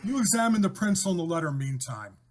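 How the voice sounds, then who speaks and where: An actor playing a brisk, methodical police inspector in an old-time radio mystery, businesslike and questioning. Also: male voice, high voice, lively intonation. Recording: very noisy with clicks.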